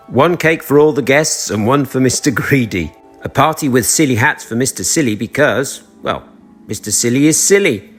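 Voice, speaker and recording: A man reads a children's story with expressive, deliberate delivery. His mid-pitched voice moves up and down a lot and has a husky grain. Faint music plays behind him.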